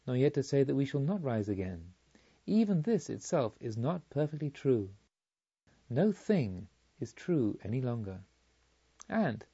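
A serene, detached enlightened woman of a storybook, read by a man in a mellow, relaxed voice. Each phrase is measured and solemn, and the recording is slightly muffled. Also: mid-range voice, breathy edge. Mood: solemn calm.

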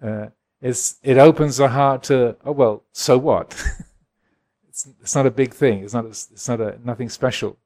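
A man in his sixties from England, a Buddhist monk giving a gentle, reflective dharma talk and answering questions at a retreat. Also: medium pitch, normal speed. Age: 60s. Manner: gentle, reflective, chuckles often.

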